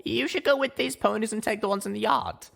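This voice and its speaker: A man's voice: sits high, expressive, fairly quick, gravelly, a bit bright, voice pushed up, exaggerated voice. A man voicing a young mare heroine, an earnest and determined adventurer in a dramatic story reading. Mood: earnest, urgent.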